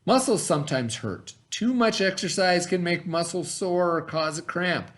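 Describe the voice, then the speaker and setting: A middle-aged man reading a lesson aloud in an instructional way, pausing to explain and repeat key terms. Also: sits high, animated, fairly bright tone. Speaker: teacher reading aloud to children.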